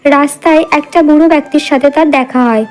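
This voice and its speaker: A woman's voice: sits high, fairly even intonation, brisk, soft background music. A woman narrating a scripted cartoon moral story as a storyteller.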